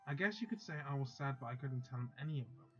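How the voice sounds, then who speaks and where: A man's voice: medium pitch, animated, fast talker, dull audio, soft background music. A man hosting a let's play, chatting casually and then reading a visual novel's text aloud.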